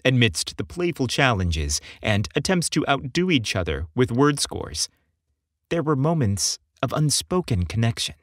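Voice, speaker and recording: A man's voice: medium pitch, big pitch swings, unhurried, fairly bright tone, no room sound, clean studio sound. A man narrating a scripted story as an audiobook reader.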